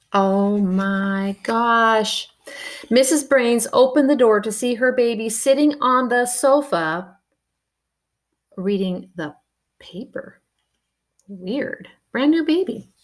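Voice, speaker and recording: A woman reading a children's picture book aloud, expressive and adding playful spoken asides. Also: fairly high pitch. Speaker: storybook reader.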